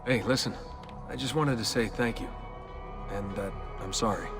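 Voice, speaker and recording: A man's voice: lowish voice, moderate pace, music in the background, natural voice. An actor playing a guarded young man who asks blunt questions, in a game cutscene.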